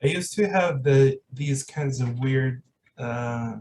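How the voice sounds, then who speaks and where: A man's voice: mid-range voice, slow pace, darkish tone, distant mic, a little hiss or hum. A man hosting a podcast in casual, reflective conversation, hesitant and searching for his words. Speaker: podcast host.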